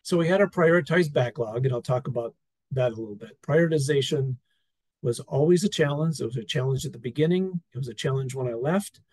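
A man presenting an experience report over slides on a video call, talking in a relaxed, wry way with fillers and restarts. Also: medium pitch, brisk, dry recording. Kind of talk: conversational talk over slides.

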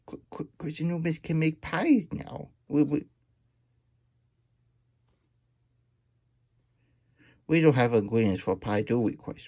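A man voicing an excitable, childlike hedgehog plush who reads a picture book and adds chatty asides in broken, third-person grammar, husky and breathy. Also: on the high side, moderate pace, dry recording. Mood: excited, rambling. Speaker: storytime host. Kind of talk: character read-aloud with chatty asides. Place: small room indoors at a table.

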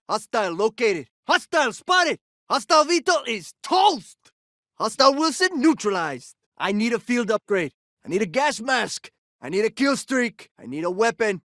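A man's voice: extremely high pitch, sharp tone, dry recording, clean studio sound, exaggerated voice. A man playing a cocky, wisecracking soldier in a video game, delivering short, punchy combat callouts. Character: cocky, jokey male soldier, sporty ex-jock type. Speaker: video game operator character.

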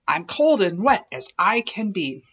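A woman's voice: mid-range voice, big pitch swings, measured pace, a bit bright, breathy edge, lo-fi sound, heavily acted. A woman voicing a small, hopeful farm pig in a singsong storybook manner. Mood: hopeful pleading.

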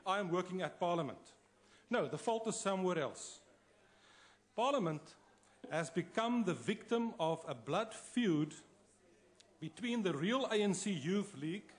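A South African man, a long-serving member of parliament, giving a combative, emphatic debate speech at a podium microphone in a large chamber. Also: sits high. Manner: combative, emphatic, repeats for force.

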